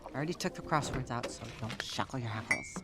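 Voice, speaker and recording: A woman playing a feisty, teasing, hard-drinking 1930s wife in an audio drama, sassy and quick to needle. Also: extremely low pitch, noisy background. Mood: breezy.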